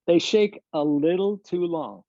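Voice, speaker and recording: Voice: mid-range voice, unhurried, dark tone, no echo. Screenplay narrator, scripted delivery, close dry sound with slightly muffled call quality. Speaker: stage-direction reader. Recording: dull video-call audio.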